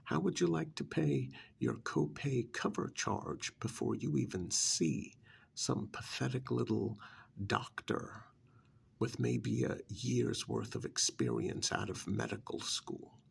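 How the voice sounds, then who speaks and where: Smug familiar recruiting for vampires, male performer, ASMR role-play: slow, coaxing, sardonic, very breathy with deep gravel. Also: sing-song, full character voice. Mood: scornful, sardonic. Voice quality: breathy, gravelly, often whispered. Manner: coaxing, sardonic sales pitch with drawn-out emphasis.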